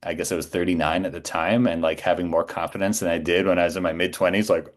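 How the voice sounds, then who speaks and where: Very deep-voiced man chatting casually. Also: flat delivery, quick pace. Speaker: podcast guest, voice actor. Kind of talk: casual interview conversation.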